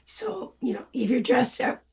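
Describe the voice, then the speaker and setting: An older hoarse woman, a farm safety guest on a radio show, talking casually and rambling with a self-deprecating manner. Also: a little high, sing-song, moderate pace, a little mellow, whispered.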